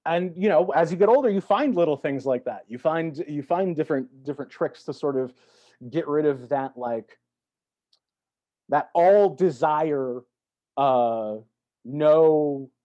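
A man's voice: on the high side, lively intonation. A man in reflective, casual conversation as a guest, feeling his way through thoughts with repeated words. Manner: halting, repeats words while thinking. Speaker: podcast guest. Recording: dull, lo-fi audio.

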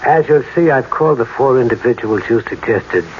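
An actor playing a streetwise, deferential private detective in an old-time radio drama, matter-of-fact, with an American accent. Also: male voice, mid-range voice, lively intonation, a little fast, natural voice. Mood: businesslike. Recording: muffled, noisy old radio recording.

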